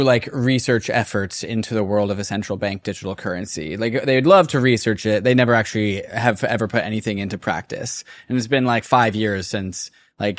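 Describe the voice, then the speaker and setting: A man's voice: lowish voice, dry recording. An American man co-hosting a podcast, laying out points emphatically with repetition before putting them to a guest. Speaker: podcast co-host, interviewer. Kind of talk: conversational podcast questioning and commentary.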